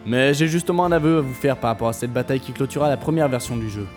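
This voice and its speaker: A man's voice: mid-range voice, a little mellow, faint music behind, natural voice. An actor playing a composed, courteous male game inventor answering a radio interview in formal phrasing, in an audio drama. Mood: composed, explanatory. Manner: polite, measured formal phrasing.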